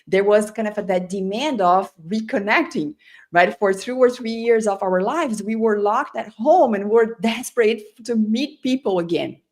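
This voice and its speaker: A woman's voice: medium pitch, a little mellow. A woman who is not a native English speaker, hosting a conversational online talk show in an effusive, emphatic way, often checking in with the listener. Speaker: host and customer experience leader.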